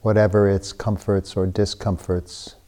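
A man with a very low, mellow voice guides a meditation. He speaks in short, hushed phrases and leaves generous pauses between them. The tone is dark and relaxed. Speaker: meditation teacher.

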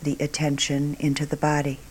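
A woman meditation teacher guiding a meditation calmly and unhurriedly, in short phrases with long pauses, her voice soft and breathy at phrase ends. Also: sits low. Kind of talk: guided meditation.

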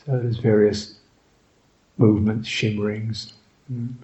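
A man guiding a meditation as a Buddhist teacher, speaking reflectively in short, halting phrases with long pauses. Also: on the low side, little pitch movement, dark tone, low-quality recording. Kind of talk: guided meditation, unscripted and reflective.

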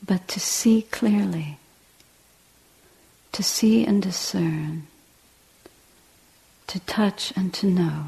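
A woman meditation teacher leading a guided meditation in a breathy, hushed voice, with deliberate short phrases and long pauses. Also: medium pitch, poor audio. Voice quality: husky, breathy, often near whisper.

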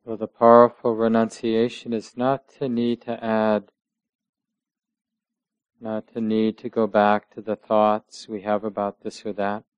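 Slow, low-voiced man guiding meditation. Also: monotone, smooth, no room sound, lo-fi sound. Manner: measured, gentle, with long pauses between short phrases. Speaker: meditation teacher. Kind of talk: guided meditation instruction.

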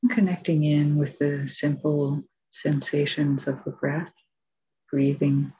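A woman meditation teacher giving guided instructions in a soft, gentle, unhurried way. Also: deep voice, flat delivery, breathy edge. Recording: dull, muffled lo-fi recording.

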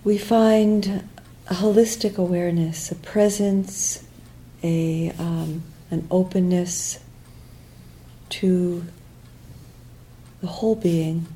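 Very mellow, slow-speaking woman guiding meditation. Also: fairly low pitch, flat delivery, a little hiss or hum, rough recording. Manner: soft, unhurried, with long pauses between short phrases. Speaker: meditation teacher. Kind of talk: guided meditation.

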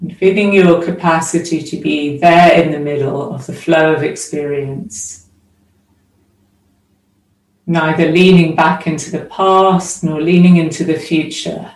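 A woman leads a guided meditation at a very unhurried pace. She speaks in short, measured phrases separated by long pauses, at a middle pitch. The sound is echoey and picked up at some distance from the microphone. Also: steady tone, a little mellow. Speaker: meditation teacher. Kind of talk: guided meditation, slow spoken instruction. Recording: roomy echo, distant microphone.

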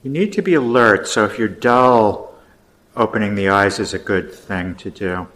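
Male meditation teacher with a lowish voice, leading guided practice gently, leaving pauses between instructions. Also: low-quality recording.

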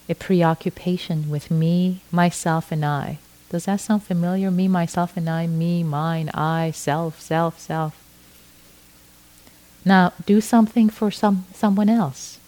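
A middle-aged woman from Iran, a native Farsi speaker, giving a gentle dharma talk as a meditation teacher, often restarting her phrases. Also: fairly low pitch, rough recording. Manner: slow, gentle, with frequent restarts.

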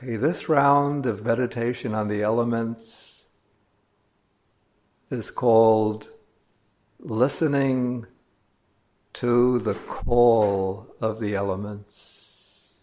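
A male meditation teacher with a medium-pitched voice gives guided instruction. He speaks slowly and in measured fragments, pausing between phrases as he directs the listeners' attention. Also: steady tone, darkish tone.

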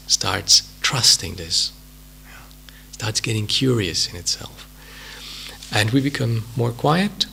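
A man with a Swiss accent giving an unhurried, reflective talk as a meditation teacher, with many pauses and fillers. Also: mid-range voice, vocal fry, pushed and bright, a little hiss or hum. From Switzerland. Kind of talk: dharma talk at a retreat.

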